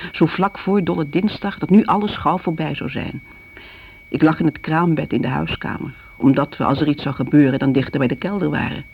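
Creaky-voiced reminiscing middle-aged mother. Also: low voice. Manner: chatty, confiding, seeking agreement. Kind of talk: radio play monologue, confiding. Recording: muffled old radio recording, faint hiss.

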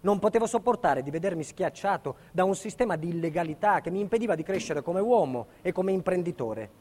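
A man's voice: high voice, expressive, fairly quick, mellow. A man playing a defiant, indignant building contractor, delivering an emphatic theatrical monologue about his ordeal. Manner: emphatic, dramatic storytelling. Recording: reverberant hall with long echo.

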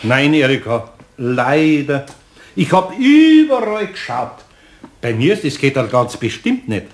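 An actor with a Bavarian accent playing a kindly, patient older master craftsman in a children's radio play, fatherly and gently admonishing. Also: mid-range voice, big pitch swings, measured pace. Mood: kindly, consoling.

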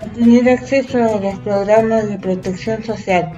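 A woman's voice: mid-range voice, fairly even intonation, normal speed, dull audio. A woman reading a scripted announcement aloud. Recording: roomy echo under prominent music.

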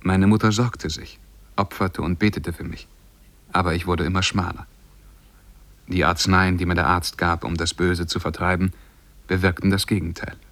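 An actor playing a lovesick, melancholy man who tells the story of his youth in a measured, mournful way, in 1950s German radio drama style. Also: deep voice, level tone, fairly bright tone. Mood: melancholy, narrating.

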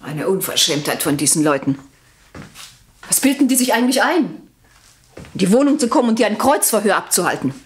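An actress in a radio play playing a haughty, unfaithful upper-class wife with an emotional, demanding manner. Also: female voice, mid-range voice, sing-song, brisk, long pauses, a little hiss or hum, lightly acted. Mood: indignant.

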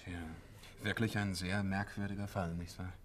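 A man's voice: low voice, little pitch movement, normal speed, slight background noise, natural voice. An actor playing an affable, deferential businessman in a 1950s German radio play, chatty and a little hesitant. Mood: earnest, doubtful. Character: affable, eager, polite businessman making small talk.

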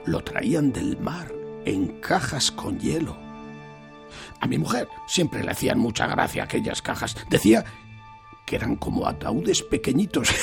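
An actor playing a poor, nosy old farmer in a Spanish radio drama, with a hesitant, stammering manner and a Spain accent. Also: male voice, mid-range voice, vocal fry, full character voice. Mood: nostalgic. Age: older. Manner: hesitant, stammering, repeating words.

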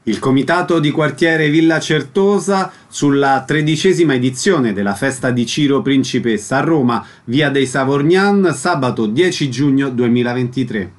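Mid-pitched man hosting a radio interview. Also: moderate pace, hardly any pauses, faint music behind.

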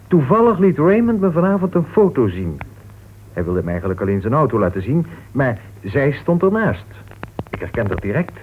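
An actor playing a cool, self-assured male crime novelist in a radio detective drama, explaining things in a measured way. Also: medium pitch, slight background noise, natural voice. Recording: thin, tinny old radio recording.

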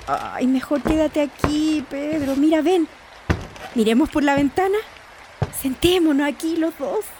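A woman's voice: high-pitched, measured pace. A woman with a Chilean accent playing a worried, nagging wife and mother in a radio drama, fretful and scolding. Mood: tender, worried. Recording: clearly noisy radio play recording.

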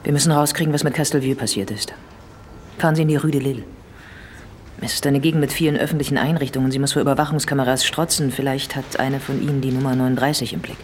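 An actor playing a brisk, direct male police commissioner in a radio drama. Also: medium pitch, steady tone, a little hiss or hum, natural voice. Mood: brisk, decisive.

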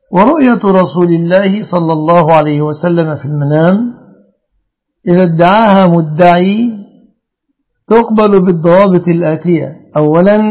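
A man's voice: sits high, dull audio. A man, a religious scholar, answering callers' questions in formal speech and setting out rulings point by point. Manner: formal classical Arabic, lays out rulings point by point. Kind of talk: call-in fatwa answers.

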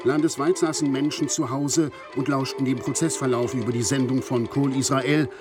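A male actor as an early-1960s radio news reporter in an audio drama. His mid-pitched voice delivers a formal, factual report. Music plays clearly behind him. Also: close to an everyday voice.

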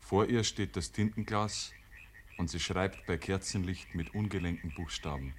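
A man's voice: deep voice, moderate pace, close to an everyday voice. A man narrating a 1950s dialect radio play as a folksy rural storyteller. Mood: measured. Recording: noisy 1950s radio recording.

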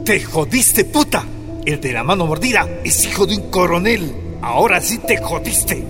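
An actor playing a menacing soldier who taunts a prisoner in a radio drama. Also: male voice, high-pitched, sing-song, moderate pace, long reverb tail, music in the background. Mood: taunting. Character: jeering, menacing male soldier.

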